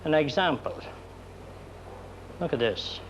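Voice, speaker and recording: An Irish man lecturing trainees as a mechanics instructor, speaking deliberately in short broken phrases, on a 1960s film recording. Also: a little high, expressive. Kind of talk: explanatory classroom lecture. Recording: muffled, noisy 1960s film sound.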